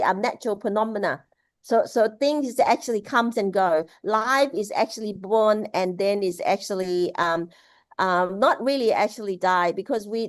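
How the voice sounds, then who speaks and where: A woman from Laos giving a casual spoken Buddhist teaching over a video call, halting, with many restarts and fillers. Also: medium pitch, no room sound. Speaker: Buddhist speaker.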